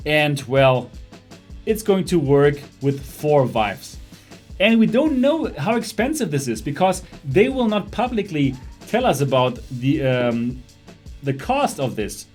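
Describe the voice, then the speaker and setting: A man's voice: on the high side, sing-song, a little slow, a little mellow, faint music behind. A young man from Germany with a German accent, hosting a casual livestream about VR tech, chatty and laughing often as he reads chat. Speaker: host of a VR livestream show.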